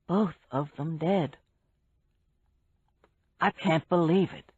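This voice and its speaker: Subdued polite man, lowish voice, woman reading, lo-fi. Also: takes it slowly, a little mellow, slightly rough, no room sound, heavily acted. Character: upset middle-aged man leaving early. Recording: dull, dry lo-fi recording.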